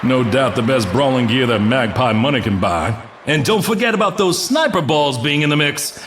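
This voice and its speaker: A man playing an excitable arena announcer in a cartoon, calling play-by-play sports commentary. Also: medium pitch, normal speed, slight background noise, natural voice. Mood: brisk, tense.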